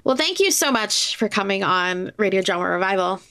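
A woman hosting a conversational podcast interview, informal and quick to laugh. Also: medium pitch, lively intonation, a bit bright, smooth.

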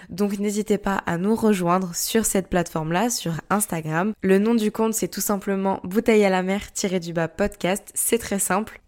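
A young woman, a student, hosting her own podcast and talking to listeners in a steady, explanatory way. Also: medium pitch. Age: young adult. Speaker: podcast host. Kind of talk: casual podcast monologue.

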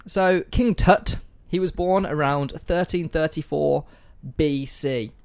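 A man's voice: a little high, takes it slowly, gravelly, a little mellow, smooth. A man hosting a casual history podcast from home, joking about himself and swearing now and then, on a poor-quality mic. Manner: jokey, self-deprecating, swears casually. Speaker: podcast host. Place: room at home with pets and food on the table.

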